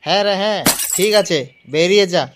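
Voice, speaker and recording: A man's voice: sits high, steady tone, brisk, a bit bright, a little hiss or hum. A man voicing an authoritative village chief giving instructions in a comedy cartoon. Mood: instructing. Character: commanding male village council chief. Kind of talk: amateur comedy cartoon dialogue.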